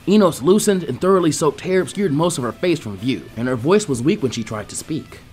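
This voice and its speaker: A man reads a story aloud in an expressive narrator's style. His voice sits fairly high and moves around a lot in pitch, at a brisk clip. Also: faint music behind. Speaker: fan fiction reader.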